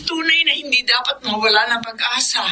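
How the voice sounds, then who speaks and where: High-voiced, heartfelt single mother. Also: fairly quick, bright, forceful tone, slight background noise. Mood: grateful. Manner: earnest, emotional speechmaking. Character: devoted, struggling single mother, humble and heartfelt. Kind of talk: Filipino radio drama acting. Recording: music behind the voice.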